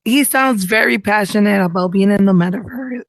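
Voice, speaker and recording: A woman's voice: medium pitch, moderate pace, no echo. A woman co-hosting a casual podcast, chatty and teasing. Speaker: podcast co-host.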